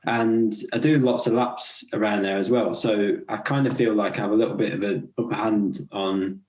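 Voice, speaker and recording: A man's voice: lowish voice, moderate pace, reverberant, low-quality recording. A young man with an English accent, raised partly in Scotland, chatting casually as a podcast guest and laughing as he tells stories. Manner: relaxed, chatty, laughs while telling stories. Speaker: podcast guest, racing rider. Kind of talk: casual interview chat.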